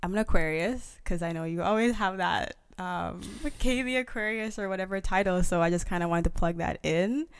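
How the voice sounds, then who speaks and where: A soft-spoken woman speaking as a guest on a podcast, hesitant and casual, with many fillers. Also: fairly low pitch, normal speed, few pauses, clean tone, no echo.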